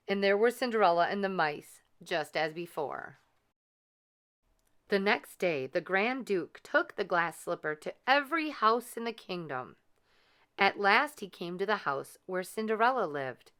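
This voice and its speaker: A woman narrating a children's storybook in a deliberate, expressive way. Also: on the low side, clear, resonant tone. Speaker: children's read-aloud host and storyteller.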